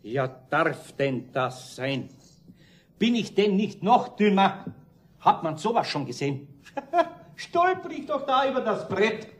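An actor playing an older, grumbly but kindly master craftsman with a husky voice and Bavarian accent in a children's radio play. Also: high voice, big pitch swings, slow pace, full character voice. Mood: self-reproachful. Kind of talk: 1970 German children's radio play acting.

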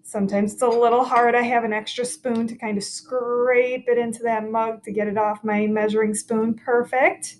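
A woman's voice: on the high side, little pitch movement, moderate pace, hardly any pauses, slight background noise, rough recording. A woman in her thirties, a teacher, giving a cheerful step-by-step cooking demo for children from her home kitchen, picked up by a laptop camera across the counter. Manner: cheerful, clear, instructive. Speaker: teacher hosting a kids' cooking video.

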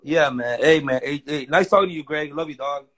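A young man in his twenties talking quickly into his phone's front camera, his voice a little raised in pitch. He keeps restarting his sentences, slangy and insistent. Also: fairly bright tone. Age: 20s. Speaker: livestream guest. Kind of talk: casual chat.

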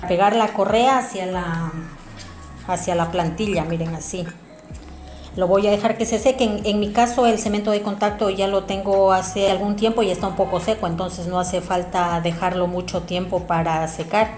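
A woman's voice: medium pitch, steady tone, moderate pace, darkish tone. Woman explaining a craft in a roomy space. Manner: explanatory, addressing viewers directly. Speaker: craft tutorial presenter. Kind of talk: informal hands-on tutorial narration. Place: small domestic room indoors. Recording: roomy echo, music behind.

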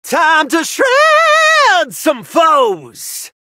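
An actor voicing a brash heavy-metal dragon-riding knight in a video game, with a bombastic, theatrical delivery and drawn-out battle cries. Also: very high-pitched, huge pitch swings, sharp tone, no echo, shouting, studio quality, exaggerated voice. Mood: fierce, fired up.

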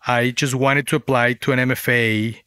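A man with a Mexican accent speaks as a guest author in an interview, his first language Spanish. His voice is bright and smooth at a middle pitch. The recording is close and dry, and he tells his story in a conversational way. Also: steady tone, moderate pace, dry recording.

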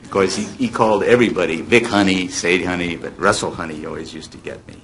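An American man in his seventies speaks in a low, creaky voice, telling stories to an audience from a panel table. He draws out key words with relish, and there is light noise in the recording. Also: steady tone, fast, a bit bright. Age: 70s. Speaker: former child radio actor on a panel. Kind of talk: reminiscing to an audience, taking questions. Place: hotel meeting room, panel table. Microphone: table microphone on stand. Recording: noisy hotel meeting-room amplification.

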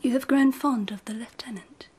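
An actress playing a gracious, teasing and wistful Empress in a radio drama, speaking softly and often close to a whisper, in a gentle, measured way. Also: female voice, fairly high pitch. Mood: teasing, probing. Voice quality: soft, breathy, often near whisper. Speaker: actor.

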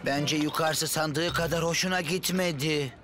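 A sensible talking construction vehicle from a kids' cartoon , acted by a man. The voice sits slightly high. Music is clearly heard behind it. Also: fairly even intonation, a little slow, natural voice. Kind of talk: animated children's cartoon dub.

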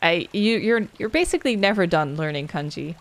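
A young woman chats loosely with her viewers at the end of a game stream. Her voice sits a little low for a woman, and she rambles, thanks people by name and fields questions from chat. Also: lively intonation, brisk. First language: Dutch.

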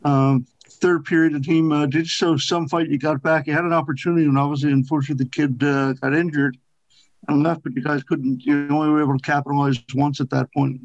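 A man's voice: fairly high pitch, little pitch movement, brisk, no room sound. A man working as a sports reporter, asking long, rambling questions at a press conference. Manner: rambling, piles up clauses in his questions. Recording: dull dry call audio.

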